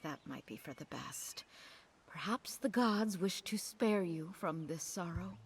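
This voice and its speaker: A woman's voice: fairly low pitch, lively intonation, unhurried, breathy edge, full character voice. An actress playing a wistful, easily flustered young lady in a video game, speaking in a melancholy, hesitant, dramatic way. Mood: mournful. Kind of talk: voiced video game cutscene dialogue. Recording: faint game music underneath.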